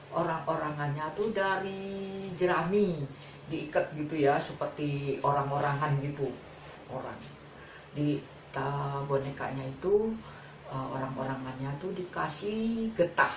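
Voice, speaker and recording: A woman tells a children's fable in a slightly low voice, informal and repetitive, as if making it up as she goes. She is some distance from the mic in an echoey space, with faint noise behind her. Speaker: storyteller.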